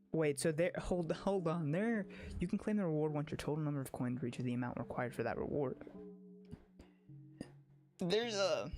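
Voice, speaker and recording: Excitable, rambling game streamer with music behind. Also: mid-range voice. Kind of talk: casual livestream chat. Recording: music under the voice.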